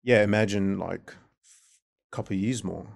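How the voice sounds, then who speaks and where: Gravelly low-voiced male podcast host. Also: measured pace, no echo, studio quality. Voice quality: speaks with a non-native accent. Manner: frequent short affirmations, probing questions. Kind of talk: casual interview chat.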